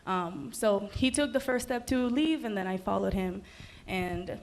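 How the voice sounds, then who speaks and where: A woman's voice: medium pitch, measured pace, slight background noise. A young woman from the Dominican Republic, a musical guest chatting casually in an interview, upbeat and full of ums. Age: young adult.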